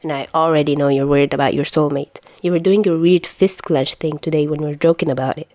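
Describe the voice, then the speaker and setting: A woman's voice: sits low, steady tone, quick pace, warm tone, no room sound, lightly acted. A woman voicing a playful, teasing but caring teenage boy in a story reading. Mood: reassuring.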